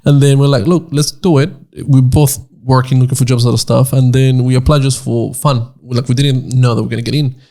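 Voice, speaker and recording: Gravelly-voiced man, from Nicaragua. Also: medium pitch, steady tone, a little fast, hardly any pauses, dark tone. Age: 20s. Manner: rambling, repeats words while searching for them. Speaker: podcast co-host. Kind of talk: casual chat.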